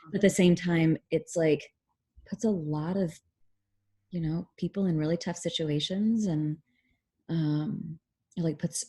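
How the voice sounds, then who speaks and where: A relaxed, chatty woman in her thirties from Oklahoma, speaking as a guest over a video call. Her voice sits a little low, and she talks loosely with plenty of fillers, laughing easily. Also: slightly rough. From Oklahoma, USA. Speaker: guest, physician.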